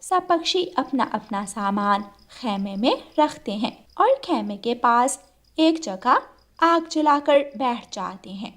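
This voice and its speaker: A woman's voice: on the high side, animated, takes it slowly. A woman narrating a scripted children's cartoon story.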